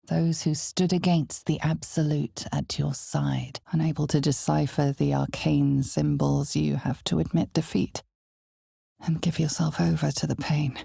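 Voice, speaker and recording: A woman playing the ominous, knowing narrator of a dark fantasy game, measured and deliberate, with a husky, breathy voice. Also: low voice, dry recording, studio quality, heavily acted.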